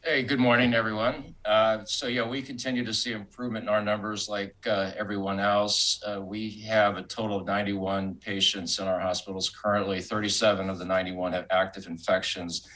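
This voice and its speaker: A man speaking as a hospital medical officer, giving a measured, formal and matter-of-fact status report. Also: lowish voice, flat delivery, pushed and bright, poor audio. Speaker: hospital chief clinical officer.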